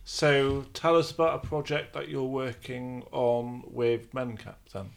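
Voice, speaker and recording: Gravelly man hosting a podcast. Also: mid-range voice, fairly even intonation. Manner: laughs often, halting. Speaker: podcast host. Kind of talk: casual podcast chat.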